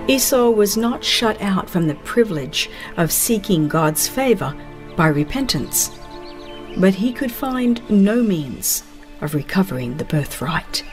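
A woman narrating a religious book in a solemn, formal, dramatized reading. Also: medium pitch. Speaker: audiobook narrator.

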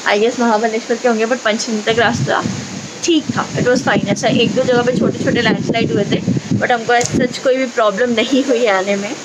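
A woman's voice: on the high side, fairly even intonation, moderate pace, very noisy. A woman talking casually to camera as a travel vlogger, chatty and switching back and forth between Hindi and English. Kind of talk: casual vlog talk to camera. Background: background music.

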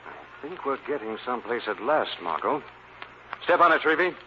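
Casting: gentleman detective, commanding and lively in pitch, vintage radio thriller, dulled noisy recording. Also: normal speed, muffled recording. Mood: urgent, commanding. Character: urbane, commanding gentleman sleuth, brisk and thoughtful. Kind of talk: 1940s radio acting.

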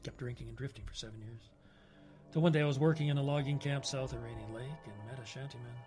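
A haunted older man played by a male actor tells his tale in an audio drama with a middling pitch. He is plain-spoken, folksy and wry, speaking in clipped phrases. Music plays clearly behind him. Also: fast, a little mellow, lightly acted. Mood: haunted, grim.

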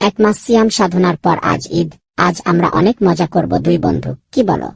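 A woman voicing an excitable, friendly young boy in a children's cartoon, with a husky, creaky voice. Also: fairly low pitch, brisk, no echo, studio quality, heavily acted. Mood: friendly, excited.

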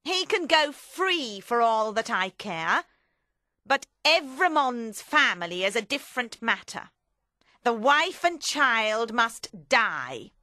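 A woman voicing a cold, vengeful revolutionary woman in a storybook reading, forceful, deliberate and ominous. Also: fairly high pitch, big pitch swings, no room sound, clean studio sound, pitched above the performer's own voice, heavily acted.

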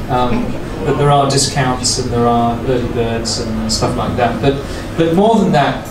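A man's voice: mid-range voice, a little slow. An older man chairing a dinner event, welcoming guests from a podium with many ums and restarts. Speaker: think tank chairman. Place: hotel function room. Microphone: podium microphone on a stand. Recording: echoey room from far off, room noise.

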